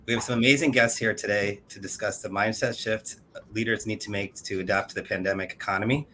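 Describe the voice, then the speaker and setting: A man hosting a video-call panel discussion, relaxed and conversational with some hesitations. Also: on the low side, quick pace, a little hiss or hum, poor audio. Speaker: webinar host.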